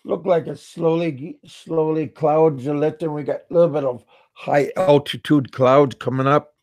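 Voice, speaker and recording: A man from Alaska hosting a casual livestream, chatting with viewers in a halting way and often repeating and restarting his words. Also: a little high, fairly even intonation, darkish tone, dry recording. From Alaska, USA. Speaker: livestream host. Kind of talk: casual live-chat talk reading viewer comments.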